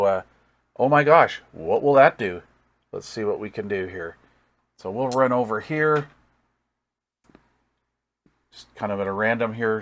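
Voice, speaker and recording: A man giving a casual hands-on product demonstration as a hobbyist reviewer, thinking aloud with folksy, easygoing enthusiasm. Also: lowish voice. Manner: thinks aloud while working.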